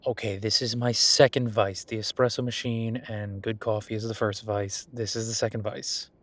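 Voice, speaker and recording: A man's voice: lowish voice, level tone, moderate pace, lightly acted. A man playing a friendly, self-deprecating young billionaire in a casual, intimate audio roleplay, rambling informally and playfully defensive as he talks to the listener.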